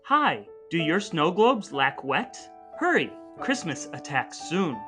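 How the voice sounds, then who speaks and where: A man's voice: on the high side, very animated, slow, bright, forceful tone, faint music behind, exaggerated voice. A man voicing a blunt, earnest widowed mother in a stilted, declamatory parody screenplay reading. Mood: urgent.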